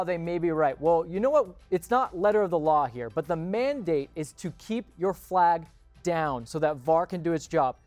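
A man's voice: a little high, darkish tone. A man hosting a sports show, moderating a panel conversation.

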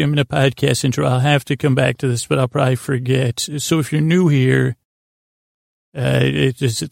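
A man hosting a bedtime podcast in a meandering, halting monologue, often interrupting himself and wandering off track while gently reassuring the listener. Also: mid-range voice, vocal fry, no echo, clean studio sound. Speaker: podcast host.

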